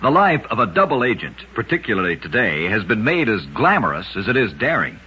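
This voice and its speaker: A man's voice: on the low side, big pitch swings, brisk, pushed and bright, breathy edge, dull audio. A man narrating short scripted stories as a radio host, with a dramatic, emphatic delivery, on a vintage radio recording.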